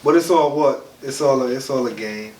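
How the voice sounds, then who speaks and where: A man's voice: medium pitch, moderate pace, darkish tone. A man with a stuffed-up nose, co-hosting a podcast in a laid-back, chatty way. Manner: laid-back, chatty, frequent "you feel what I'm saying". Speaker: podcast co-host. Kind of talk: casual podcast chat. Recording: echoey room, mic at a distance, faint noise.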